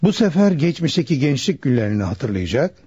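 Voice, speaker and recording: A man acting a calm, knowing doctor who explains things in a measured way in a radio play. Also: on the high side, slightly rough, dry recording, full character voice. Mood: calm, explanatory. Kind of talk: Turkish radio theatre acting.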